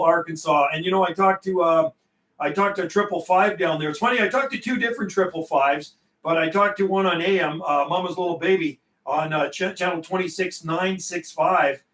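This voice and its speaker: High-voiced chatty man in a roomy space. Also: fairly bright tone, distant mic. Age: middle-aged. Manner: chatty, folksy, repeats himself. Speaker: CB radio operator and livestream host. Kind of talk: casual CB radio chat on a livestream.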